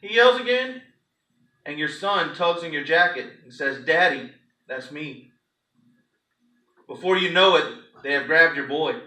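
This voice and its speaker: Echoey, high-pitched man giving a dramatic reading. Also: lively intonation, measured pace, pauses often, bright, forceful tone. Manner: theatrical, emphatic storytelling with acted-out quotes. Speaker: pastor. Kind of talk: dramatic reading of a story to a congregation. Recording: echoey room, distant microphone.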